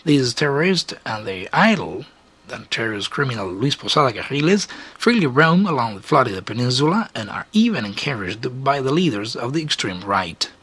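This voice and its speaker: A man delivers a scripted radio commentary. His voice is bright and forceful, with a noticeably husky grain and a mid-range pitch. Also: very animated, brisk.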